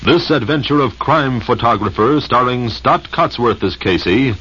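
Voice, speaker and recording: A male announcer giving a formal, measured broadcast sign-off. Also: mid-range voice, lively intonation, music in the background. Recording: dull old radio broadcast with faint hiss.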